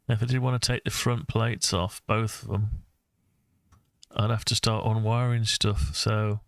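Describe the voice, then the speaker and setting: A man's voice: medium pitch, gravelly, clean tone, dry recording. A man giving a casual design walkthrough, thinking aloud in a hesitant, musing way as he talks through his ideas. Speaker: presenter of a hobby design project video.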